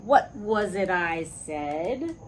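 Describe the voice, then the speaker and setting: A woman voicing a stern mother monkey who scolds her children in a slow, deliberate way. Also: fairly low pitch, expressive, slight background noise.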